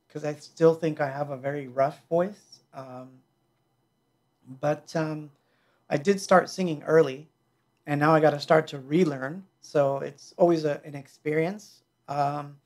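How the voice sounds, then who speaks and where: A middle-aged man hosting a livestream from his small home music studio, chatting loosely and joking while he answers viewer questions. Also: fairly high pitch. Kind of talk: casual livestream chat. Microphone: headset mic.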